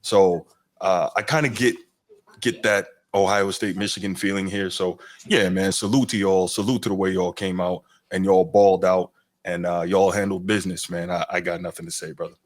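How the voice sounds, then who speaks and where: Deep-voiced man in easygoing sports chat. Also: steady tone, moderate pace. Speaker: podcast co-host.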